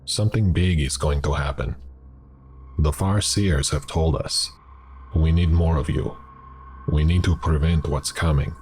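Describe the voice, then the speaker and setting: Man playing an excitable Russian man, deep voice. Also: a little slow, slight background noise. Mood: urgent, persuasive. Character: excitable, persuasive Russian man recruiting a stranger.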